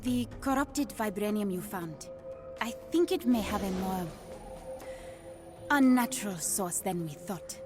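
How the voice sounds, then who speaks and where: A woman playing a confident young African princess and scientist in a video game, with an African accent and a brisk, precise delivery. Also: mid-range voice, animated, lightly acted. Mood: brisk, businesslike. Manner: brisk, self-assured, precise. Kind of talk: cinematic video game acting. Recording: prominent background music.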